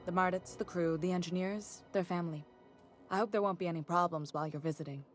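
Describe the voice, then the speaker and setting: An actress playing a confident, no-nonsense space station captain in a video game, plainspoken, firm and cordial. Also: female voice, on the low side, fairly even intonation, quick pace, faint music behind, lightly acted. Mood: firm, proud. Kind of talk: video game character acting.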